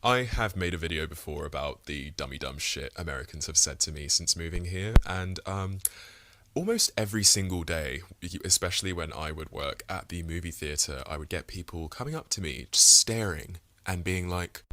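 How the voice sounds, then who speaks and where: Deep bright-voiced man from England. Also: normal speed, no echo. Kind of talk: casual social media story.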